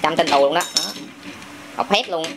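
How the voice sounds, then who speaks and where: A man's voice: on the high side, normal speed. A man with a southern Vietnamese accent chats casually and playfully while busy with toys, teasing and often repeating short phrases. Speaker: father playing along on a family toy channel. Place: indoor room at home, by a table of toy bricks. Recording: noisy home camera audio, clicking plastic bricks.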